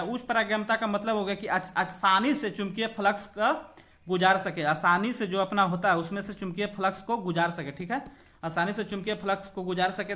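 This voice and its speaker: A man's voice: high-pitched, fairly bright tone. A man teaching an exam class, working through practice questions, repeating key points and checking in with short confirmations, mixing in English terms. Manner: switches between Hindi and English when reading aloud.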